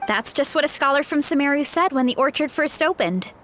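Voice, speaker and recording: A woman's voice: high-pitched, lively intonation, brisk, a bit bright, a little hiss or hum. A woman voicing a cheerful, easygoing young tribeswoman in a video game, laughing easily as she talks. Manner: upbeat, breezy, laughs easily. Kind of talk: game voice acting.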